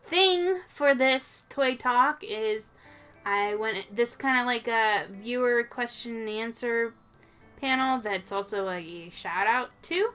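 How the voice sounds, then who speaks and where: A woman's voice: a little high, takes it slowly, fairly bright tone, clean tone, faint music behind. A woman co-hosting a toy show in casual, informal chat, thinking aloud as she goes.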